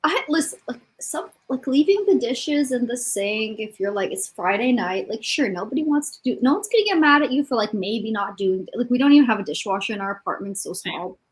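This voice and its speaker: A woman with a fairly high voice that swings widely up and down. She chats informally, with an exasperated, self-mocking edge. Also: animated, a little fast, few pauses, low-quality recording. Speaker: participant in a group reading livestream. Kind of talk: casual chat.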